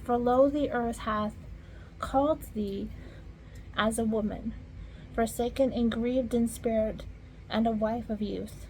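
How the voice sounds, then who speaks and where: A woman reading scripture aloud in an informal way, halting at hard words and talking softly to her viewers between verses. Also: a little high, fairly even intonation, a little hiss or hum, rough recording. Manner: slow, halting, stumbles over archaic words. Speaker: Bible reader.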